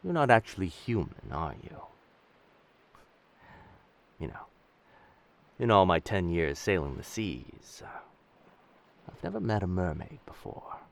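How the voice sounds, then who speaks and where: A low-voiced pirate captain, acted by a man for an audio drama, roguish and commanding, with old seafaring turns of phrase. The delivery swings widely in pitch, able to be both tender and menacing. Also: big pitch swings, a little slow, creaky, pauses often, exaggerated voice. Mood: intrigued, gentle. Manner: old seafaring diction, addresses others as lass and sir. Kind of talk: roleplay audio drama, speaking to the listener.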